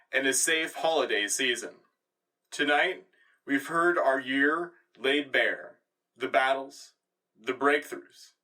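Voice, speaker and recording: Man as a bright, slow, solemn news anchor. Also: medium pitch, distant mic, rough recording. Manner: measured, grandiose phrasing. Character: solemn, patriotic male sci-fi news anchor. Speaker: radio show host. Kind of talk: formal scripted news broadcast.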